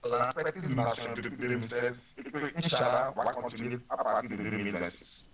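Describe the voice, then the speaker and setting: A man with a Beninese French accent answers questions as a party politician. His voice sits at a medium pitch. He speaks earnestly, building his points through rhetorical repetition. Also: fairly quick, fairly bright tone, slight background noise, rough recording. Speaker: ruling-party politician interviewed by phone. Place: telephone call.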